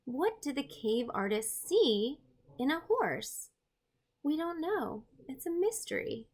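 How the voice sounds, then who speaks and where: A woman hosting an art read-aloud, expressive and playful, with chatty, self-deprecating asides to her viewers. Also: high-pitched, takes it slowly. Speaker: host, art teacher reading a picture book aloud.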